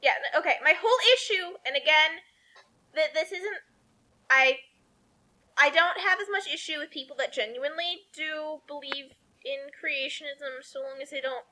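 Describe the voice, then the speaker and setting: A woman's voice: high-pitched, normal speed, sharp tone. A woman co-hosting a comedic reading, riffing on the story with emphatic, argumentative opinions.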